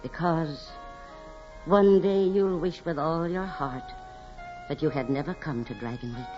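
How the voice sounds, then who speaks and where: Low-voiced, foreboding housekeeper. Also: female voice, over music. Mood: ominous. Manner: grave, deliberate storytelling. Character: ominous housekeeper woman, grave, gossiping, foreboding. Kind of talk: 1940s radio acting. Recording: dull 1940s radio recording.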